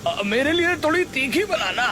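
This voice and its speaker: A man's voice: extremely high pitch, big pitch swings, moderate pace, bright, forceful tone, a little hiss or hum, voice pushed up, exaggerated voice. A man voicing eager, hungry passing customers at a food stall in a cartoon. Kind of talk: scripted cartoon dialogue.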